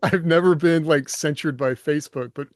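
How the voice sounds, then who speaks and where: A man's voice: a little high, moderate pace, noisy background. A man co-hosting a casual podcast chat, speaking informally as if thinking aloud. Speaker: podcast co-host and fiction writer.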